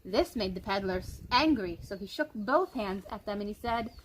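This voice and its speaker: A woman reading a picture book aloud to children expressively, with deliberate, dramatic emphasis on repeated phrases. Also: medium pitch, lively intonation, noisy background. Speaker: storyteller.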